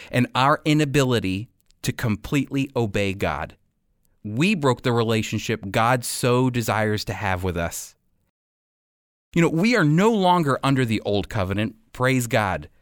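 A man teaching and commenting as a radio preacher and host, speaking emphatically and deliberately with weighty pauses. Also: medium pitch, dry recording, clean studio sound.

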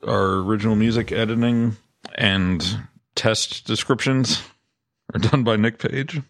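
A man's voice: on the low side, unhurried. A man co-hosting a podcast, casually reading out the closing contact details and credits.

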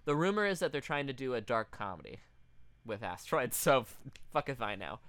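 A man's voice: medium pitch, very animated, fairly quick, a bit bright, dry recording. A man co-hosting a podcast in casual comedic chat, profane and riffing as he goes. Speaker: podcast co-host.

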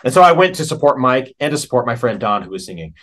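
A middle-aged American man speaks as a musician being interviewed. His voice sits at an average pitch, and he talks casually with plenty of slang. He tells his stories in an animated, conversational way. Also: fast talker.

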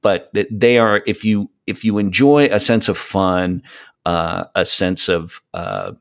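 A man with a deep voice speaks as a guest in a casual interview. He explains things with enthusiasm and tends to ramble as he goes, keeping a conversational podcast style. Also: expressive, a little slow, gravelly. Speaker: podcast guest, voice of an audio show.

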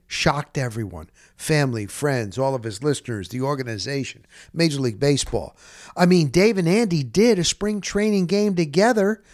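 A man hosting a podcast, talking casually in a rambling, emphatic way and repeating phrases for stress. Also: fairly high pitch. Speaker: podcast host. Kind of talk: casual conversational podcast introduction.

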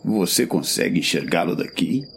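An actor playing an easygoing, aging pipe-smoking master in a fantasy audio drama, with a husky voice. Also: male voice, deep voice, animated, normal speed, slight background noise. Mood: relaxed, weary. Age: older. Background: crickets, night insects.

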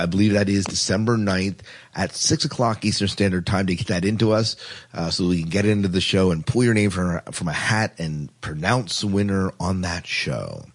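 Low, husky-voiced male podcast host. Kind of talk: casual hosting chat.

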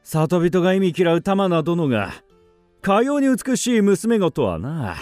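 A brash, sceptical samurai sidekick in a period radio drama. His blunt, old-fashioned lines leap up and down in pitch. The voice sits fairly high for a man. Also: big pitch swings, measured pace, a little mellow, no room sound, soft background music. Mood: jovial, brash. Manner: blunt, archaic warrior speech.

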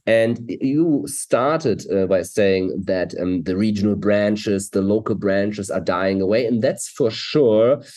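Male expert guest, German accent, low mellow voice, conversational interview delivery. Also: slow, few pauses.

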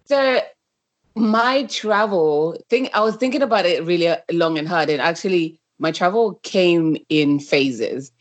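A woman's voice: fairly low pitch, unhurried, no echo. Woman from Zimbabwe, dry close sound. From Zimbabwe, moved to the UK around age ten. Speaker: podcast guest, travel brand founder. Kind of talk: conversational interview answers.

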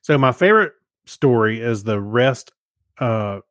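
A man's voice: mid-range voice, lively intonation, unhurried, fairly bright tone, dry recording, studio quality. A man co-hosting a podcast, chatting casually and reading out listener messages. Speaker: podcast co-host.

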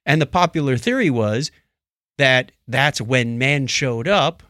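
A man with a middle-pitched voice chats as a podcast co-host. He recounts things like a storyteller and slips into imagined dialogue. The sound is dry, with no room around it. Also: expressive, measured pace, sharp tone, dry recording. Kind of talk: casual chat, recounting documentaries.

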